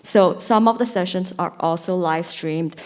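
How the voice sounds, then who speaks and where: A woman's voice: fairly low pitch, unhurried, darkish tone. A young woman, a junior scholar, speaking casually on a conference panel and often saying "like". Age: young adult. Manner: casual, frequent "like" fillers.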